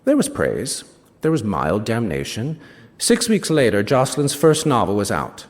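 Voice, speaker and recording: An actor playing a wry male British novelist, reading a first-person literary story in an expressive, savouring way with small asides. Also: fairly low pitch, moderate pace. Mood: fond, wry. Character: wry male British novelist recounting a rivalry with his friend. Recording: reverberant hall, stage reading.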